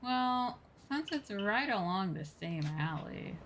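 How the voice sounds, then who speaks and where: A woman's voice: medium pitch, lively intonation, takes it slowly, a bit bright, a little hiss or hum. A woman chatting casually on a game livestream and reading game dialogue aloud. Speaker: streamer playing a video game.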